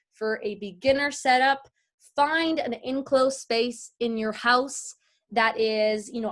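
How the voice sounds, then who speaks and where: A woman's voice: a little high, fairly bright tone. A woman giving a chatty, encouraging, informal lesson as a voice actor teaching an online class over a video call from a home office. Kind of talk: casual instructional talk. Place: at home, large office with wooden walls. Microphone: computer video call.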